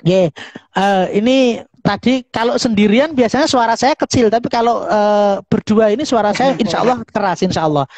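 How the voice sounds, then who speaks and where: A woman's voice: on the high side, normal speed, darkish tone, no room sound. Javanese-accented woman hosting, close dry sound. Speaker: host, university staff member. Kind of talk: informal online live talk and Q&A.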